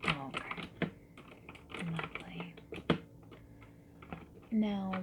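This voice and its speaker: Soft whispery asmr lady doing a polite survey roleplay, really slow, keyboard typing and some noise behind her. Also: medium pitch. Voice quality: soft, breathy, often dropping into whispers. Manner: gentle, polite, unhurried questioning. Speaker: ASMR creator playing a survey interviewer. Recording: lo-fi muffled audio with noise. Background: mechanical keyboard typing.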